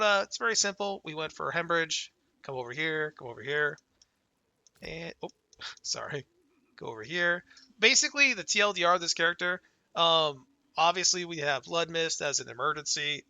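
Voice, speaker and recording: A young man casually talking through his game character, laid-back and excited, his voice worn and going hoarse from a cold. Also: a little high, moderate pace, dry recording. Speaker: gaming channel host. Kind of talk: casual gameplay commentary.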